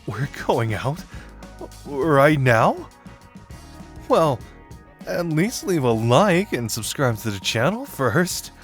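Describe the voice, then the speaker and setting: A man's voice: fairly high pitch, big pitch swings, slow pace, pauses often, soft background music. A man acting a flirty, self-deprecating detective who shyly confesses as a secret admirer in a romantic audio roleplay, teasing yet earnest and hesitant.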